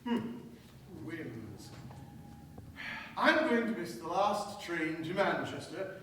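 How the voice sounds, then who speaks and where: A man's voice: fairly high pitch, sing-song, slow, fairly bright tone, slight background noise, exaggerated voice. A man playing a haughty, callous, sardonic heir in a staged mystery radio play, with a snide, dismissive delivery. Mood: irritated. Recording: echoey stage, far from mic.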